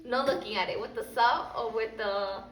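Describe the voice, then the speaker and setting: A woman's voice: on the high side, moderate pace. A woman teaching young children as a preschool teacher, prompting them with simple, repeated questions and phrases. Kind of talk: teaching young children, question-led lesson and picture book read-aloud. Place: indoor classroom. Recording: roomy, distant mic, faint background noise.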